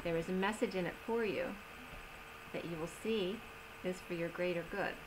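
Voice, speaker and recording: A woman giving a tarot reading in a slow, soothing manner, slightly strained as she is losing her voice. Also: lowish voice, noisy background. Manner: slow, soothing reading of oracle cards with gentle pauses. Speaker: tarot reader. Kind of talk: solo tarot reading video.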